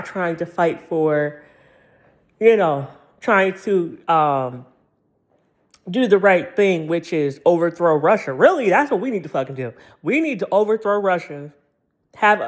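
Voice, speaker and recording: American guy in his 30s doing a casual opinion rant, sassy and slangy, repeats himself for emphasis. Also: high voice. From United States. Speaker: commentator.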